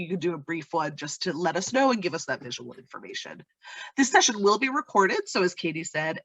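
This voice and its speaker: A woman's voice: fairly low pitch, no echo, poor audio. Stuffy-nosed woman hosting an online workshop. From Vermont, US. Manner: friendly, relaxed, many ums. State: stuffed up from a cold. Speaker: workshop host. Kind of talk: welcoming online workshop talk. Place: sunroom at home. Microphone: video call from home.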